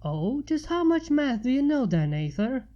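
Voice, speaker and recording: A woman voicing a kind, encouraging pony schoolteacher with a gentle, instructive manner in a story reading. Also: high voice, big pitch swings, moderate pace, dull audio, voice pushed up.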